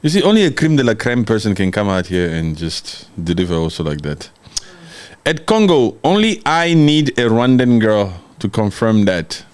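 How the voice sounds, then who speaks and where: A man's voice: fairly low pitch, big pitch swings, moderate pace, a little mellow, smooth. Brash joking man on a livestream. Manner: brash, joking, mocking and laughing often. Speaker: livestream host. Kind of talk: casual livestream chat reading viewer comments.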